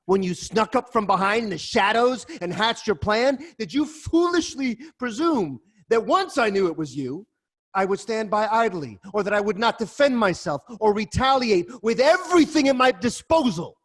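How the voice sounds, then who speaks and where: A man's voice: high-pitched, animated, normal speed. An actor playing a proud, hot-tempered king in a dramatic reading over a video call, scornful and commanding. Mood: furious accusation. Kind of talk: Zoom dramatic reading of a Greek tragedy.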